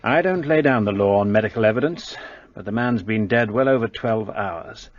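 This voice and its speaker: An actor playing a brusque, arrogant police detective in a radio play, speaking in a curt, commanding way. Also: male voice, fairly low pitch, normal speed, lo-fi sound, lightly acted.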